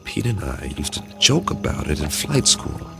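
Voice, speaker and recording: An American man playing a measured, thoughtful spaceship captain with quiet authority in a radio drama. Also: a bass voice, sing-song, gravelly, over music, exaggerated voice. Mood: musing. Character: steady, thoughtful spaceship captain leading his crew.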